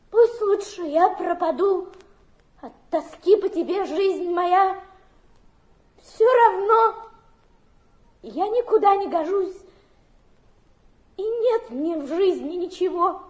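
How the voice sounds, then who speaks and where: An actress playing an overwrought, dreamy, tearful young fallen woman in a stage drama, with a drawn-out melodramatic delivery. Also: very high-pitched, full character voice. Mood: tearful, fervent. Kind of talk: theatrical stage acting. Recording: archive stage drama recording.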